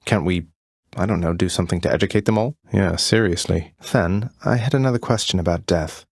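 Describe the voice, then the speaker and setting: Young British underworld prince, low voice, wry, politely understated, rebellious, game dialogue, close dry recording. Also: male voice, a little fast, vocal fry, slightly rough, no room sound, exaggerated voice. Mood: curious, earnest. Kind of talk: video game character dialogue.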